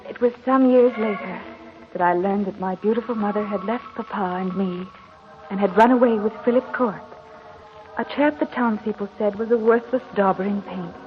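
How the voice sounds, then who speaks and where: A woman's voice: mid-range voice, normal speed, soft background music, natural voice. An actress playing a sheltered, wistful young heiress who tells her story formally in a 1940s radio drama style. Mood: wistful recollection. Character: sheltered, wistful 21-year-old heiress, genteel and melancholy. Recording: muffled tinny 1940s radio recording.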